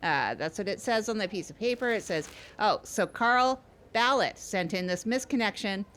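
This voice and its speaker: A woman's voice: mid-range voice, animated, normal speed, a bit bright, natural voice. A woman playing an agreeable, hesitant small-town podcast host who rambles and repeats reassurances in improvised comedy chat. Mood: rambling, concerned.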